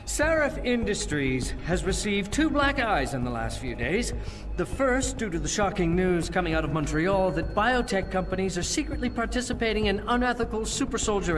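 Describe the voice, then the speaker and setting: High-voiced, patronizing politician in a reverberant hall. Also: male voice. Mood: grave, indignant. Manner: measured, condescending, rhetorical. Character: smooth, patronizing male doctor turned anti-augmentation politician. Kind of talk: dramatic video game acting, public oratory. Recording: long hall reverb, music underneath.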